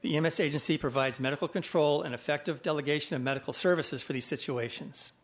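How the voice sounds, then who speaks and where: A man reading prepared testimony to a legislative committee in a measured, formal manner. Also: fairly high pitch. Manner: measured, formal, abstract wording.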